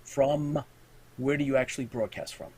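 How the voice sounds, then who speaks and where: A man co-hosting a live show, talking in a relaxed, halting way and dropping in short agreements. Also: medium pitch, a crowd in the background. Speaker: co-host. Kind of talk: casual chat on a live show.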